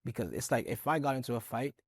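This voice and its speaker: A man's voice: medium pitch, fast talker, slightly rough, no echo. A man from Haiti, speaking as a guest in a discussion and telling his story earnestly with lots of "it's like.". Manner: earnest, animated storytelling, many "it's like" fillers. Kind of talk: conversational discussion.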